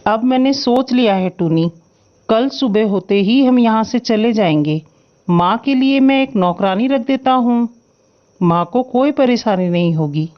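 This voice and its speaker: A woman's voice: on the high side, expressive, a little slow, highs cut off. Woman voicing an earnest young husband crow. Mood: resolute. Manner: earnest, protective, insistent. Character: caring, stubborn young husband crow, male. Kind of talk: Hindi moral-story cartoon acting.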